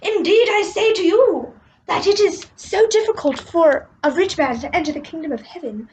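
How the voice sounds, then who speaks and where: A woman voicing Jesus as a solemn teacher for children, slow and theatrical, with hushed breathy words. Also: very high voice, voice pushed up. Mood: solemn teaching. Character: grand, solemn Jesus teaching his disciples. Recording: slightly dull recording with faint noise.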